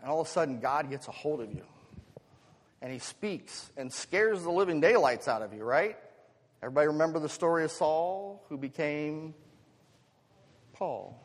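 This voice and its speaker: An American man delivers a sermon in a fairly high-pitched voice, with long reverb from a large hall. He poses rhetorical questions to the congregation as a pastor would. His delivery shifts between chatty asides and emphatic swells. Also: lively intonation, normal speed, pauses often.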